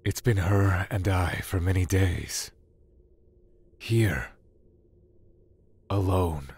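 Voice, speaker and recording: An actor playing a solemn, brooding god of the void in an audio drama, speaking in measured, terse lines. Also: male voice, sits low, creaky, darkish tone, husky, exaggerated voice. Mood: wistful.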